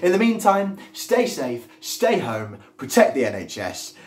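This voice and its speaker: A British man in his thirties, a schoolteacher speaking to students on video in an animated, direct manner, recorded at home. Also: on the high side, a little slow. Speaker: schoolteacher presenting a poetry series. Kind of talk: video address to students with poetry recitation. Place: living room at home, indoors. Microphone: device mic a step away. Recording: roomy home echo.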